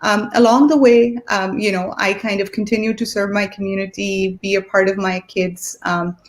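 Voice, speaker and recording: Relaxed middle-aged woman telling her story. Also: medium pitch, moderate pace. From Pakistan. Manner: relaxed, many ums. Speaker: panelist. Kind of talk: personal story on a virtual panel.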